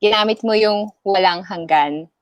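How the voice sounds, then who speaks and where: A Filipino woman hosting a casual online interview, playful and quick with short replies. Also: mid-range voice, clear, resonant tone, dry recording. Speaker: host. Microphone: video call.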